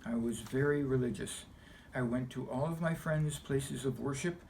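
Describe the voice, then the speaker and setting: A middle-aged man from Los Angeles with an American accent, delivering a scripted personal monologue in deliberate, emphatic, short measured phrases. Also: medium pitch, vocal fry, darkish tone, slight background noise, rough recording. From Los Angeles, United States. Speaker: video essayist telling personal views.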